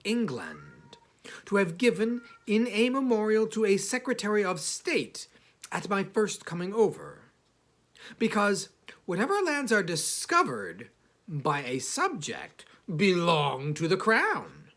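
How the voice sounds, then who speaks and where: Animated, theatrical male audiobook reader. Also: sits high, slow pace. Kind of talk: expressive literary narration of a classic satire.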